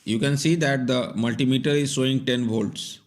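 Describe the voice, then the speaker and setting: A man's voice: medium pitch, steady tone, moderate pace. A man giving scripted, step-by-step instructional narration in a plain, methodical way. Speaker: repair tutorial narrator.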